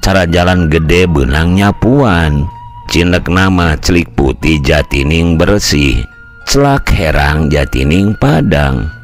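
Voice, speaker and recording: A man's voice: low voice, animated, faint music behind, pitched below the performer's own voice, heavily acted. A man voicing a pious old village uncle who explains things patiently and reflectively in a Sundanese storytelling recording. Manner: slow, sage-like explaining with proverbs.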